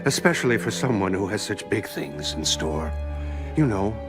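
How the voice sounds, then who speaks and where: A deep, taunting cartoon villain. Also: male voice, very animated, moderate pace, loud music behind the voice. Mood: sneering. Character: sneering male villain, menacing and mocking. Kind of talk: animated series voice acting.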